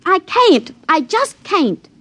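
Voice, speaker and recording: A woman's voice: very high voice, big pitch swings, takes it slowly, pushed and bright, no echo, heavily acted. An actress playing a naive, lovestruck young American backwoods girl with a folksy hillbilly accent and guileless manner, in 1950s radio drama style. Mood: pleading, upset. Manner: folksy, guileless, plain-spoken. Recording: dull lo-fi old radio recording.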